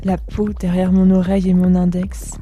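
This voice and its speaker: Woman playing an entranced earth-loving dreamer, very mellow dark voice, fairly even, faint music behind. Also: lowish voice, moderate pace, lightly acted. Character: entranced, sensual dancer communing with the earth. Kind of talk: poetic French fiction podcast acting.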